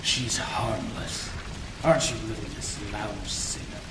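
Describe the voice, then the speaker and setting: A man's voice: on the low side, a little slow, natural voice. An actor playing an arrogant old man, a condescending cult villain in a noir audio drama. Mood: sneering. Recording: distant, echoey, very noisy recording.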